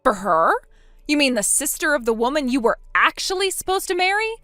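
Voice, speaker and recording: A determined older sister speaks firmly and evenly, played by a woman. Her voice is slightly high and lively, and it sits in a dry, close recording. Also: brisk, no room sound, faint music behind, exaggerated voice. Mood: cold anger. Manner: firm, steady, lower-voiced.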